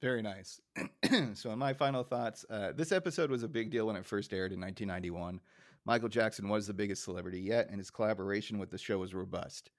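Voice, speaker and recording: A man's voice: fairly low pitch, quick pace, no room sound. Casual male podcast host, close dry sound.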